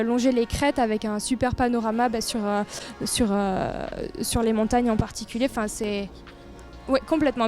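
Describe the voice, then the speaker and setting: A woman with a fairly high voice speaks as a tourist office representative in an informal interview. She sounds enthusiastic as she describes what is on offer, with faint music playing behind her. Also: a little mellow. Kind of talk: informal promotional interview, describing local trails.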